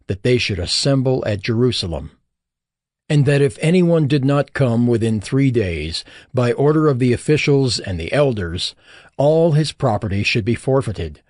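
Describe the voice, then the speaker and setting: A man giving scripted narration for a dramatized Bible, measured and solemn. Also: medium pitch, darkish tone, dry recording, clean studio sound.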